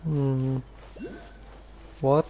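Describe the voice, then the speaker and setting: A man giving casual livestream commentary on a game, halting and musing to himself. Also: medium pitch, flat delivery, background noise. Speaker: game streamer.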